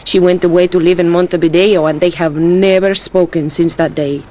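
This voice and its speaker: An actress playing a gentle but shrewd young runaway bride in a radio drama. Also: female voice, on the low side, steady tone, close to an everyday voice, low-quality recording. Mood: calm. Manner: soft, measured, slyly practical. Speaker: young wife.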